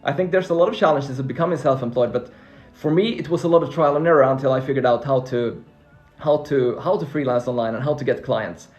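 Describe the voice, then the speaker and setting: A man's voice: medium pitch, fast talker, few pauses, darkish tone, highs cut off. Upbeat Finnish man reading chat questions. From Finland. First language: Swedish. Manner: upbeat, repeats words and restarts while reading viewer comments. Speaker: freelancing coach answering live chat questions. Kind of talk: casual live stream Q&A. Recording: faint background music.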